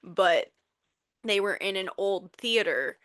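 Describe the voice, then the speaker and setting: A woman's voice: medium pitch, expressive, slow pace, a bit bright. A woman co-hosting a paranormal podcast who chats casually and tends to ramble and lose her words. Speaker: podcast co-host. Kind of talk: casual chat.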